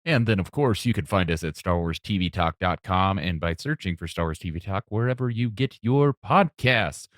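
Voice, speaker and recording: Male podcast host, low register, dry close sound, conversational interviewing. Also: animated, moderate pace, hardly any pauses, dry recording.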